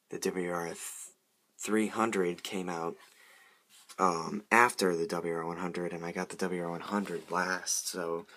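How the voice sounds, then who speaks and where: Casual hobbyist show-and-tell: man, low flat voice, rambling and repetitive, faint noise on an amateur recording. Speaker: hobbyist showing a weather radio collection.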